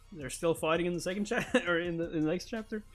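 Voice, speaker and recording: A man's voice: high voice, brisk, soft background music. A man hosting a live read-along, playful and improvising as he reacts to the story. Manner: playful, breaks into laughter, improvises. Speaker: reaction video host.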